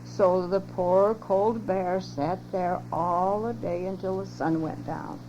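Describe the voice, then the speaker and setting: Older lady reading a kids story to the grandkids, soft dark voice, dull old cassette tape. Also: lowish voice, steady tone, takes it slowly. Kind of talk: reading a children's story aloud. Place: home. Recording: lo-fi home cassette tape with hum.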